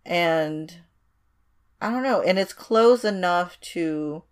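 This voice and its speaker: Woman from indonesia doing casual podcast chat, deep slow voice, repeats words for emphasis. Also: clear, resonant tone. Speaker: podcast co-host.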